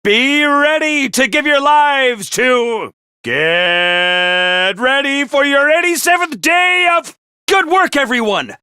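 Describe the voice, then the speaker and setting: A man's voice: very high voice, pushed and bright, no room sound, studio quality, exaggerated voice. A man voicing a manic, mischievous male mascot commander in a game, talking in a hyper, theatrical and gleeful way. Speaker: game character voice lines. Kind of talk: game voice acting.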